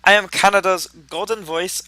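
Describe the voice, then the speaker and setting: A man acts out a vain young star singer in a radio play. His voice is very bright and a little high, with a pompous, affected delivery and a dry close sound. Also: fairly even intonation, moderate pace, clear, resonant tone, dry recording. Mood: self-important. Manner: grandiose, affected. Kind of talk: noir radio drama acting.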